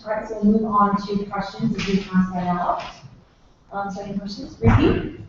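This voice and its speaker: A young woman chairing a student council meeting, running votes and agendas in a brisk, procedural way. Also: mid-range voice, steady tone, distant mic, background noise. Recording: dull, echoey room from afar.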